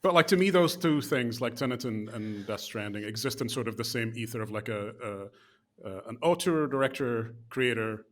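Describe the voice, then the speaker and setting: A man's voice: medium pitch, lively intonation, moderate pace, fairly bright tone. Chatty man with many fillers and restarts. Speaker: podcast co-host. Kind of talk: casual chat.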